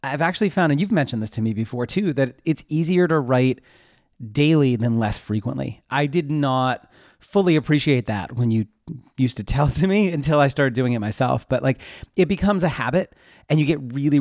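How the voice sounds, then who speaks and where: A man's voice: medium pitch, expressive, brisk, darkish tone, no room sound, studio quality. A man giving casual interview answers in an informal, rambling way, often interrupting himself. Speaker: interview guest, web developer and newsletter writer.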